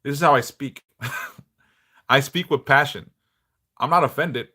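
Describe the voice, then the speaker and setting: A middle-aged man a big bass voice, chatting bluntly and passionately on a livestream, swearing freely. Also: unhurried, pushed and bright, breathy edge. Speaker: livestream host, devotional teacher. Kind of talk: casual livestream chat.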